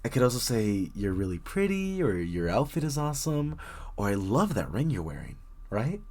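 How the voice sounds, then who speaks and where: A male actor plays a painfully shy, bookish young man in an intimate roleplay audio. His voice is mid-pitched and slightly husky, jumping up and down with nerves. He stammers, rambles and apologises, sweetly flustered as he talks straight to the listener. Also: big pitch swings, normal speed, darkish tone. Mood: shy, warming up. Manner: stammering, hesitant, rambling, apologetic, giggles nervously.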